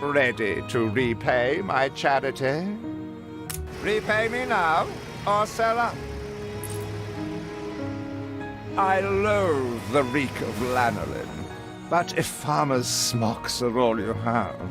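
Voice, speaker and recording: A man's voice: medium pitch, animated, slow pace, over music. A man playing a spiteful, domineering, money-grubbing uncle in a video game, with a sneering, scornful way of talking. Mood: sneering, demanding. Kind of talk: video game character dialogue.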